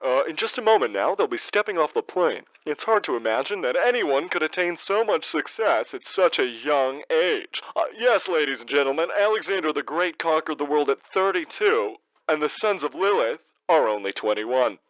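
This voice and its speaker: Male actor as radio newsman, muffled broadcast sound, animated pitch, excited old-time live commentary. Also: normal speed, no echo, lightly acted. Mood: excited, reverent.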